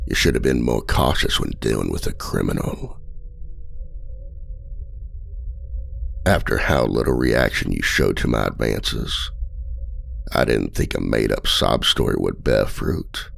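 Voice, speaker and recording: A man's voice: very deep voice, creaky, fairly bright tone, slightly rough, heavily acted. An actor playing a charming, manipulative male outlaw and escape artist, with a flirty, teasing and smugly condescending manner in an ASMR roleplay. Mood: gloating.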